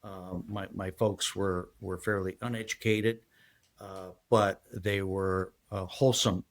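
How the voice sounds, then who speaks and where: A man in his sixties from San Diego, a guest telling his life story in a halting, rambling way full of fillers. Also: deep voice, fairly even intonation. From San Diego, USA. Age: 60s. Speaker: guest, retired federal agent. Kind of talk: casual interview storytelling.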